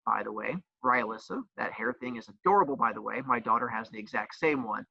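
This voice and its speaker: A man's voice: medium pitch, fairly quick, gravelly, slightly rough, dry recording, highs cut off. A man teacher who also coaches, explaining a homework assignment with playful jokes and teasing. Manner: says he has a loud voice.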